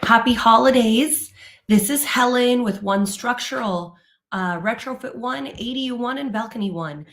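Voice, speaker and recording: A woman hosting a live informational webinar, her voice still hoarse as she recovers from laryngitis, talking in a relaxed way with many ums and uhs. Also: on the high side, moderate pace. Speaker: webinar host, company presenter.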